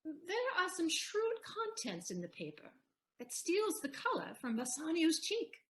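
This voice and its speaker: A woman's voice: very high voice, wildly swooping pitch, unhurried, heavily acted. An actress playing a commanding, devoted and generous lady in a Shakespearean audio play, theatrical and decisive. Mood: concerned.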